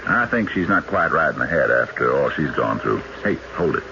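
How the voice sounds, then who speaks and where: A low, bright-edged male voice as a shrewd backcountry lawman. He is folksy and probing, with lively swings of pitch, in an old radio mystery. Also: moderate pace, heavily acted. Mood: wary. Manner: folksy, plain-spoken, probing. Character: shrewd, unhurried backcountry lawman, skeptical and methodical. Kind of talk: radio mystery drama acting. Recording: dull, noisy vintage radio broadcast.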